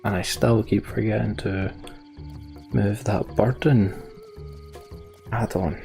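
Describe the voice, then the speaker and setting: A man with a fairly low voice and a lot of creak, commentating casually as he plays. He rambles and thinks out loud, with some music behind him. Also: steady tone, slow. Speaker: game streamer. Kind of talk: casual live gameplay commentary.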